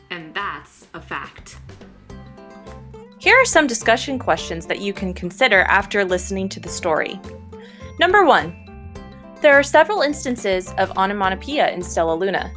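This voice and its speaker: A woman reading a children's storybook aloud as a teacher, with an expressive, varied delivery. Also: medium pitch, moderate pace, faint music behind. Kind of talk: animated storybook read-aloud narration.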